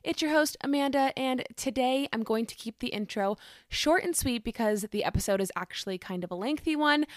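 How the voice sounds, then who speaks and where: A woman's voice: on the high side, fairly bright tone, no room sound, clean studio sound. A woman in her twenties hosting a podcast, talking in a bubbly, upbeat way with lots of fillers. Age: 20s. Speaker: podcast host. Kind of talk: casual podcast interview.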